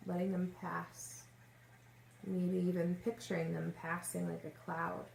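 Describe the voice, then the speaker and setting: Low, even-voiced woman guiding meditation. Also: gravelly. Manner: gentle, unhurried instruction with long pauses. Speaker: yoga nidra meditation teacher. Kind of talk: guided meditation. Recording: roomy echo, faint background noise.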